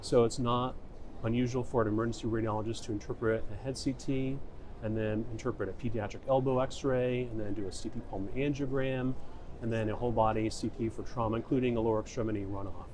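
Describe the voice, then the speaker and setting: A middle-aged man with a fairly low voice answers interview questions as a specialist physician. His delivery is measured and explanatory, restating key points. Clear ambient noise sits behind him. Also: fairly even intonation, a little mellow. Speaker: emergency radiologist being interviewed. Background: background ambience noise.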